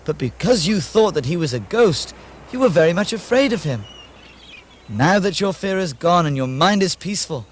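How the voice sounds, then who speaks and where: A man playing a calm, reassuring holy man who acts as an exorcist in a puppet show, measured and instructive. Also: high-pitched. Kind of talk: theatrical puppet-show acting.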